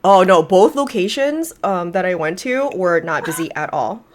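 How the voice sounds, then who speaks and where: A woman's voice: on the low side, expressive, normal speed. A woman co-hosting a casual podcast with her sister, chatty and full of fillers, often saying "girl.". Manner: chatty, says "girl" a lot. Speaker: podcast co-host. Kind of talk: casual chat between sisters.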